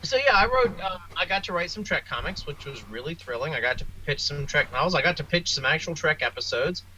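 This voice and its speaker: Bright-voiced man telling stories. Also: a little high, expressive, a little fast, a little hiss or hum, poor audio. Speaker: interview guest, science fiction writer. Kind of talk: casual storytelling interview.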